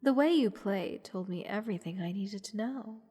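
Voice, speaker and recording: A woman playing a haughty, bored goddess in a roleplay audio drama, condescending and teasing in a languid, breathy delivery. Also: mid-range voice, normal speed, dark tone, lightly acted. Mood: condescending, analytical. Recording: long reverb, music underneath. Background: orchestral music.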